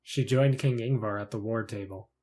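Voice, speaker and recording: A man's voice: fairly low pitch, normal speed, mellow. A man reading scripted narration for a fantasy short story in the third person.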